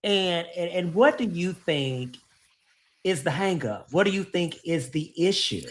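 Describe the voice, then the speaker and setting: Animated interviewer, pitch swinging widely, trips over words before each question. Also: a little slow. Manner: lively, stammering starts before questions. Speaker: podcast host. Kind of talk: casual interview talk. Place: podcast studio.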